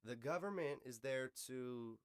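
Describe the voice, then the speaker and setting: An American man hosts a casual podcast in a mid-pitched voice with a dry, close sound. He talks loosely and conversationally, with plenty of slang and swearing, and offers opinions freely. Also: measured pace, clean tone, dry recording. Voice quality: slangy, profane speech. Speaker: podcast host. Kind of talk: casual unscripted podcast chat.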